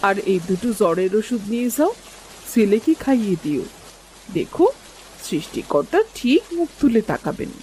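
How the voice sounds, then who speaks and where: A woman playing a kind, caring village neighbour in a cartoon story, with a gentle, solicitous manner. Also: mid-range voice, very animated, moderate pace, lightly acted, low-quality recording. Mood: concerned, kind. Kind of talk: Bengali cartoon story voice acting. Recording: faint noise of falling rain.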